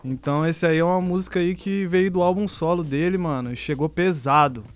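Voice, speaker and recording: A man's voice: on the high side, a little fast, smooth, soft background music. A man radio DJ chatting casually between tracks in a slangy, streetwise way. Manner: slangy, streetwise, keeps saying "mano".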